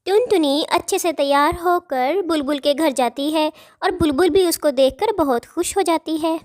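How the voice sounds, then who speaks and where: A woman narrates a children's cartoon tale from a script. Her voice is high in pitch. She tells the story as a narrator, in complete read sentences. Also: normal speed, a little mellow.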